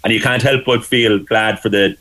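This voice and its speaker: A man chatting as a sports reporter guest, relaxed and a little rambling. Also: lowish voice, moderate pace. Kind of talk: casual chat.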